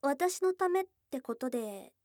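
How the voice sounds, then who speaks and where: A woman's voice: sits high, lively intonation, a little slow, darkish tone, no echo, studio quality. A woman playing a young goblin girl, a blunt, teasing yet shy girlfriend, in an intimate ASMR voice drama. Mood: pleased. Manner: blunt tomboyish speech, teasing and bashful. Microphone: close dry studio microphone.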